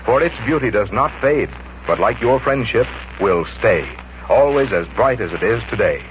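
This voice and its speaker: Male radio announcer, animated polished sales read, 1940s style, dull old recording, faint music. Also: lowish voice, normal speed, slight background noise. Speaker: announcer reading sponsor advertisement. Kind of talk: 1940s radio scripted advertising.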